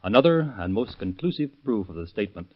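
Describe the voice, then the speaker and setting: A man with a low voice reads stiffly and formally as a police captain. The old radio recording is muffled. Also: big pitch swings, quick pace, breathy edge. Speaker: police captain giving case epilogue. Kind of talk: stiff scripted read.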